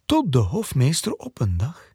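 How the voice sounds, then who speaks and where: A man's voice: fairly high pitch, wildly swooping pitch, normal speed, dark tone, slightly rough, dry recording, studio quality, full character voice. A man narrating a fairy tale for children as a wry storybook narrator in an audio drama. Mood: wry storytelling.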